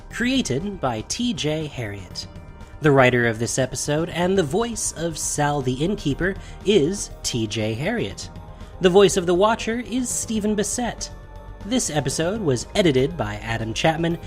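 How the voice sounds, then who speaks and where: A man reading scripted closing credits as the announcer of a podcast. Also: fairly high pitch. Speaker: outro announcer.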